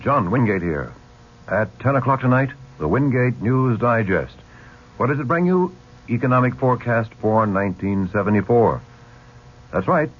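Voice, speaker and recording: A man's voice: mid-range voice, lively intonation, moderate pace, breathy edge. A man reading a news promo as a radio host, in a punchy, emphatic style. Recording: muffled 1970s radio broadcast.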